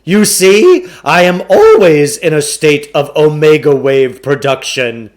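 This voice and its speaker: A blustering storybook huckster, played by a male reader, pitched high and pushed loud. The delivery is theatrical and wheedling, swooping up and down as he pompously works his sales pitch. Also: animated, slow. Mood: boastful. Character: blustering, wheedling huckster salesman peddling mind-control gadgets.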